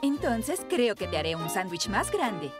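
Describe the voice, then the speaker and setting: A woman voicing a kindly, gently amused mother rabbit in a children's cartoon dub. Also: mid-range voice, big pitch swings, unhurried. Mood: encouraging. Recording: music under the voice.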